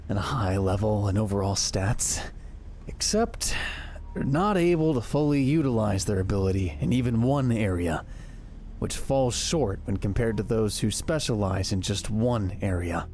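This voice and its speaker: A man's voice: lowish voice, lively intonation, normal speed, darkish tone, a little hiss or hum, close to an everyday voice. A man voicing a young hero adventurer in a manga dub, casual and sardonic, both narrating and speaking in dialogue. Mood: wry, narrating. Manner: casual, wry. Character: young male hero-healer adventurer, sardonic, easily flustered. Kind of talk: anime-style manga dub acting and first-person narration.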